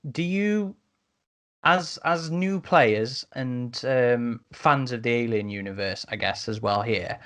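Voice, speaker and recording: A man hosting a podcast, moderating a casual discussion. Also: mid-range voice. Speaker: podcast host.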